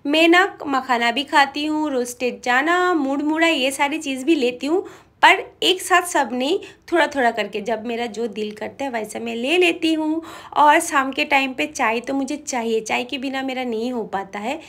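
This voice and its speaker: Chatty young woman with a slightly higher voice. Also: normal speed, a bit bright. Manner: chatty and homely, mixing in English words. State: coughing a bit, slightly feverish. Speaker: vlogger. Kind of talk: casual chat to camera. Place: bedroom at home.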